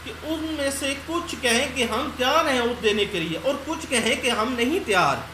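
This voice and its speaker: A woman's voice: sits high, animated, moderate pace, a bit bright. A woman giving an explanatory law lecture, reading out points in English and explaining them in mixed Hindi-Urdu. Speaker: law lecturer and channel host. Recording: roomy echo, clear background noise.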